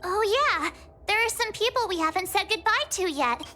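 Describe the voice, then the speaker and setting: A woman's voice: extremely high pitch, lively intonation, normal speed, pushed and bright, a little hiss or hum, exaggerated voice. A woman voicing a cheerful, chatty teenage girl traveler in a video game. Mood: bubbly.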